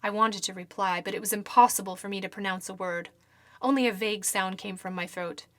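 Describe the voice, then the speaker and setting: A woman's voice: medium pitch, fairly even intonation, a little fast, a bit bright, dry recording. A woman reading a first-person horror story as dramatic scripted narration, with theatrical, precise delivery. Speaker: story reader.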